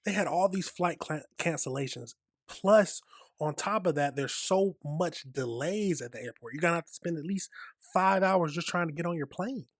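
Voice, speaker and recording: A man's voice: on the high side, moderate pace, no echo, clean studio sound. A man hosting a reaction video, casually and emphatically commenting on news clips into a USB desktop mic. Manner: casual, emphatic, opinionated asides. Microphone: USB desktop microphone.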